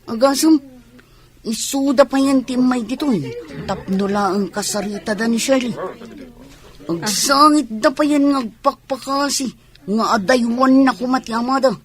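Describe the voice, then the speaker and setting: An actress playing an outspoken, teasing woman in a radio comedy drama, with an expressive, emphatic delivery. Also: high voice, a little slow. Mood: teasing, friendly.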